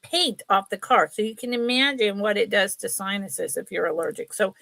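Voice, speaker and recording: A woman's voice: medium pitch, moderate pace, vocal fry, a bit bright, dry recording. A middle-aged woman with a sniffly, congested nose, chatting casually and ramblingly as she hosts a live sale. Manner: rambling, chatty, apologetic asides. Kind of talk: casual livestream chat.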